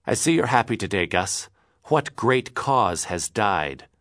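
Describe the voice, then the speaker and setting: A man's voice: sits low, fast talker, dry recording, studio quality. A man voicing an older grocer and father who talks with raw emotion. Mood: furious. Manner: pleading then shouting, emotional. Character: weary immigrant male grocer, older father.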